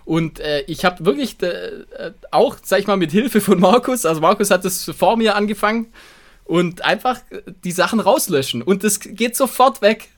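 A male podcast host with a voice a little higher than most men's. He talks casually and informally, stopping and restarting as he repeats bits of his sentences. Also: lively intonation. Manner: halting, repeating phrases, informal.

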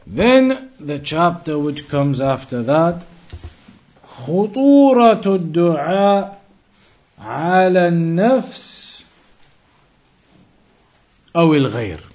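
A man teaching an Islamic lesson, reading out text and explaining it deliberately, with emphatic repetition and rephrasing. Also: on the high side, darkish tone. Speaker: religious teacher.

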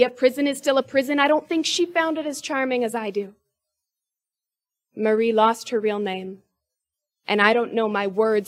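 Young woman doing spoken word, high voice, rhythmic, punches certain lines hard. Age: 20s. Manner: rhythmic, emphatic delivery with sharp rises in pitch and force. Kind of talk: spoken word poetry performance.

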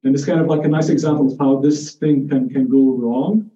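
A man's voice: medium pitch, fairly even intonation, mellow. Muffled, echoey man lecturing informally. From Jordan. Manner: halting, restarts phrases, conversational, explanatory. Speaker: lecturer in psychiatry. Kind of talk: informal spoken lecture with personal anecdotes. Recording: muffled, echoey room, distant mic.

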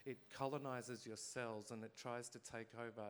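A man's voice: mid-range voice, fairly even intonation. Reflective man on a panel. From Australia. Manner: reflective, measured, with pauses. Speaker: panelist, dance and theatre artist. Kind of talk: panel discussion.

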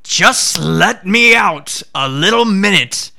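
A deceitful tiger begs for water and then turns menacing, voiced by a man telling a storybook tale. His speech is slow and deliberate. The voice stays bright with a lively lilt. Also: sits high, gravelly, pitched above the performer's own voice, exaggerated voice. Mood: pleading. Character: hungry deceitful male tiger.

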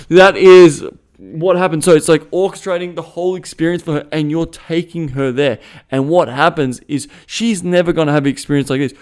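A man with an Australian accent, co-hosting a casual podcast and giving emphatic advice, swearing freely. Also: high voice. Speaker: podcast co-host.

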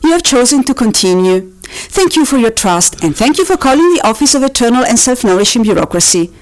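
A woman voicing a politely officious automated phone-menu system, reading scripted options formally with drawn-out, sing-song emphasis. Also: fairly high pitch, big pitch swings, poor audio. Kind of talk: recorded phone-menu announcement, scripted parody.

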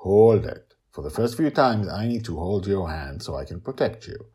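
A man's voice: low voice, expressive, moderate pace, dark tone, breathy edge. A man voicing a playful, protective father in a story, patient and amused as he teaches his young daughter emphatically. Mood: patient, insistent.

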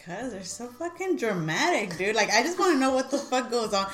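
A woman in her late twenties chatting with friends, unhurried and teasing, laughing often. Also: fairly high pitch, sing-song, a bit bright, echoey room. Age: 20s. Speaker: guest friend. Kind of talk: casual chat among friends.

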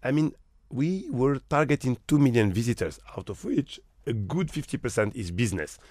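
Animated man from Morocco, emphatic. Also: medium pitch, normal speed, no room sound, studio quality. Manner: animated, emphatic, rhetorical questions. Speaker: hotel manager. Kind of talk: interview guest.

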